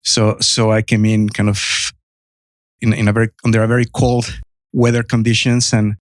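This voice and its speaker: A man's voice: lowish voice, little pitch movement, normal speed, dry recording, clean studio sound. A man in his fifties from Mexico with a Spanish accent, telling his story as a guest in a podcast interview, earnest and full of restarts. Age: 50s. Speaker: guest entrepreneur.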